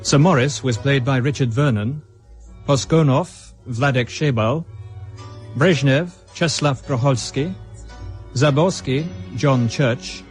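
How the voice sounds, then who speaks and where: A man's voice: medium pitch, slow. Man, formal radio announcer, mid-range voice, scripted credit reading, light music underneath.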